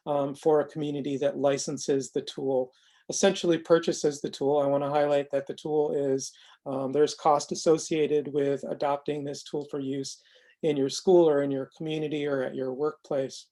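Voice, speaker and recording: A man presenting a software demonstration in an informal, unscripted way, explaining carefully and haltingly with self-corrections. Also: a little high. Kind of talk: informal narrated screen demo, unscripted explanation.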